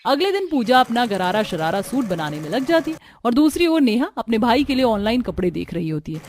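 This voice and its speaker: A woman's voice: on the high side, sing-song, a little fast, a little mellow, slight background noise. A woman reading scripted narration for a family drama cartoon story. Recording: music bed behind the voice. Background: background music.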